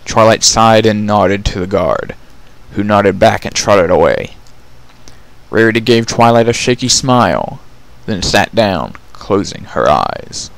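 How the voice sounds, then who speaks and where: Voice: sits low, lively intonation, a little slow, vocal fry, poor audio. A narrator giving a scripted dramatic reading of a fan fiction story.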